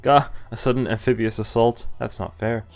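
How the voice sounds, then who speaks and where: A man's voice: medium pitch, fairly even intonation, moderate pace, vocal fry. A man streaming a visual novel, reading it aloud and adding casual, playful, reactive commentary. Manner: playful, reactive, acts out dialogue. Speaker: game streamer. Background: game music.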